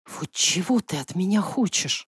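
A woman voicing a defiant, nervous young woman in an audiobook, anxious and emotional, with sharp retorts. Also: fairly low pitch, very animated, moderate pace, dry recording, clean studio sound. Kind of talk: audiobook character voice.

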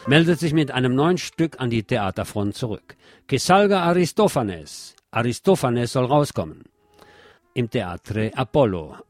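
A man's voice: mid-range voice, darkish tone. A man hosting a radio magazine, reading scripted commentary with wry, opinionated asides and emphatic delivery. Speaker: radio show host. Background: music under the voice.

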